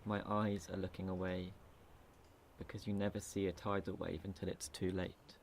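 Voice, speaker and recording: Low, very even-toned man reciting poetry. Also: moderate pace, creaky, a little hiss or hum. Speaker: poetry reader. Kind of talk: spoken-word poetry recital.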